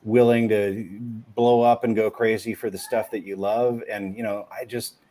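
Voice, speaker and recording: A man interviewing a guest in a relaxed, enthusiastic way, with many fillers and quick questions. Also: fairly low pitch. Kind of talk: casual chat.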